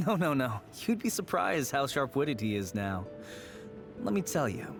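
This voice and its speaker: A kindly grown man in a video game. His medium-pitched voice is earnest and friendly, with a nostalgic temper. Music plays behind him. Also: sing-song, measured pace, creaky. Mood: cheerful.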